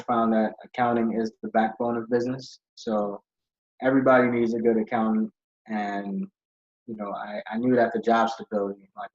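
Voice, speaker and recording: A man's voice: fairly low pitch, darkish tone. A young man from Ghana, a podcast guest who tells his story in a rambling, casual way with many fillers. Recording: dull lo-fi audio.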